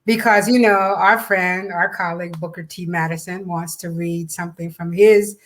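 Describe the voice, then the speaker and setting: A woman's voice: on the low side, fairly even intonation, normal speed. An American woman co-hosting a casual promotional chat, speaking conversationally and repeating phrases for emphasis. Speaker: co-host and event organizer.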